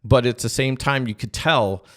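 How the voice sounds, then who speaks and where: A middle-aged man, a podcast co-host, tells personal stories in a casual, halting way and acts out remembered dialogue. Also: medium pitch, fairly bright tone. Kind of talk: casual conversational storytelling.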